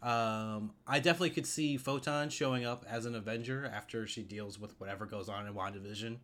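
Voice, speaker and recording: A man's voice: fairly low pitch, steady tone, fairly quick, a bit bright. A man chatting casually as the co-host of a podcast. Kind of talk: casual chat about comic book films.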